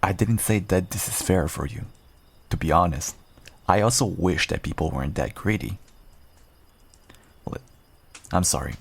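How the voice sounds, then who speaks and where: A man playing a calm, protective werewolf rogue in a fantasy roleplay audio drama, speaking in an earnest, measured way. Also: sits low, gravelly, husky, exaggerated voice. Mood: sober.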